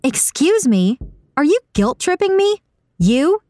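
A woman's voice: a little high, big pitch swings, measured pace, pitched above the performer's own voice. A woman voicing an indignant, uneasy woman in an audiobook, with an incredulous, emphatic and challenging delivery. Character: Saga, indignant hard-drinking woman, uneasy and accusing. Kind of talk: audiobook character dialogue.

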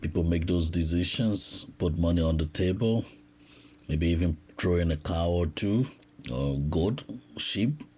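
A man talks through his podcast in a very deep voice. He speaks slowly and reflectively, pausing often and restarting his sentences as he thinks aloud. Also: mellow, slight background noise. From West Africa. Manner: reflective, unhurried, with frequent pauses and restarts. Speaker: podcast host. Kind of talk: casual podcast monologue.

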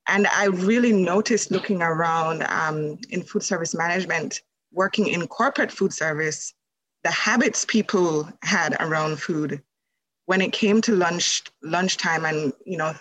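Woman from Jamaica, podcast guest, storytelling, conversational, fillers, lower voice. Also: a little slow, fairly bright tone, rough recording. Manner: conversational, laughing easily, many fillers. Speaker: podcast guest, holistic nutrition practitioner. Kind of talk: casual interview storytelling.

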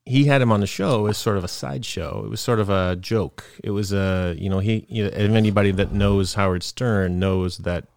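Low-voiced guy with a cold hosting a podcast, rambly, laughs a lot, dry close sound. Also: a little fast, gravelly, few pauses, darkish tone, no room sound. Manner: rambling, laughs often, trails off mid-thought. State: sniffly from a cold. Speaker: podcast host. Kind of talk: casual chat.

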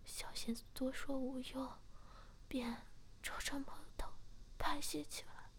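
A woman narrating an audio story entirely in a hushed whisper. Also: high voice, fairly even intonation, slow pace. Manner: whispering throughout.

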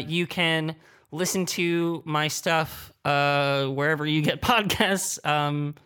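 Higher-pitched man in panel discussion. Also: fairly bright tone, smooth. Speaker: panelist, podcast creator.

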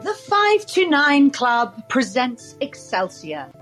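A woman's voice: high-pitched, big pitch swings, slow, fairly bright tone, faint music behind. A woman announcer reading scripted opening credits for an audio drama.

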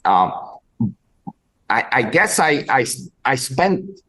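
A man with a Bulgarian accent hosting a podcast interview, talking haltingly with many restarts as he searches for words. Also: medium pitch, big pitch swings, a bit bright. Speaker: podcast host, dog trainer. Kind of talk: conversational interview.

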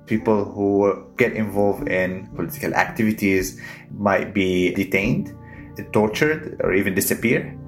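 Low-voiced man in his thirties recalling. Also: measured pace, music in the background. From Syria. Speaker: physician, interviewee. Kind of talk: interview recollection.

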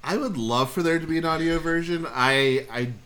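A man's voice: a little high, normal speed, fairly bright tone. A man guest chatting playfully in a casual interview over a video call, laughing easily. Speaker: guest, co-author.

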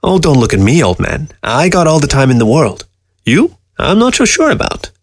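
Guy doing a resigned dead fox in a story, matter-of-fact, needling, raised pitch, dry close sound. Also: wildly swooping pitch, moderate pace, dry recording. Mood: needling, sad. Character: dead preserved fox, blunt, resigned, probing. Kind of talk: storybook character dialogue.

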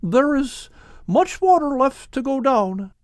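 A man voicing a gentle, tired male dove who speaks softly and with wonder. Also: extremely high pitch, very animated, slow, dry recording, studio quality, pitched above the performer's own voice, full character voice.

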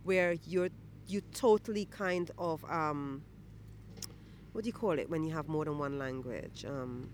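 A woman poet from Guyana, answering interview questions in a thoughtful, halting way full of restarts, outdoors near a church. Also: deep voice, darkish tone, a little hiss or hum.

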